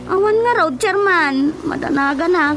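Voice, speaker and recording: A woman's voice: very high voice, animated, moderate pace. A woman acting a distraught, scolding woman in a radio drama, exclaiming melodramatically. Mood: worried, shocked. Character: distraught, scolding woman, shocked by her relative's crimes. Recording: radio drama with loud music behind.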